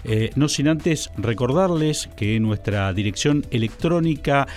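Formal Argentine radio host, measured delivery. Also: male voice, mid-range voice, lively intonation, a little mellow, faint music behind. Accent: Argentine Spanish. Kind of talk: radio talk show presenting. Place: radio studio. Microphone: studio microphone.

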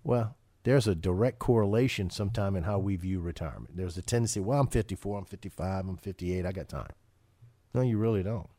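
A man's voice: deep voice, fairly quick, warm tone, dry recording. A man hosting a financial radio show, talking casually and often restarting his sentences with repeated words.

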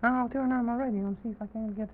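A man voicing an excitable, bumbling, boastful little president who stammers and repeats his words in an old children's radio story. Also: very high-pitched, rapid-fire, noisy background, voice pushed up. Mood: bossy, hurried. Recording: dull, muffled old radio recording.

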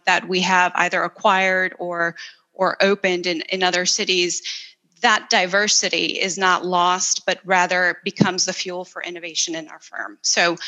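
A woman's voice: fairly low pitch, rough recording. A middle-aged woman from El Salvador, an architect talking through her background as an interview guest, with frequent restarts and fillers. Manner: warm, enthusiastic storytelling with frequent restarts and "um"s. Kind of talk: conversational interview.

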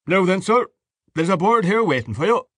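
A boisterous middle-aged Irish father holding court at dinner, as a male reader puts him on. The voice sits noticeably high and bright with mirth, hearty and teasing. It comes across as a clear put-on character in a dry storybook reading. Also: animated, moderate pace, dry recording.

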